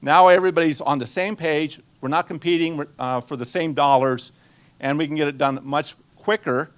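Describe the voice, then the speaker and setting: A man in his sixties, born in Guatemala and a native Spanish speaker, teaches a room of people about money. His voice sits a touch high for a man. He keeps it chatty, tossing questions at the crowd and slipping in wry jokes. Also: steady tone, normal speed. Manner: chatty, quizzes the audience and drops dry jokes. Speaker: nonprofit finance presenter. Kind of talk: conversational seminar talk to a live audience.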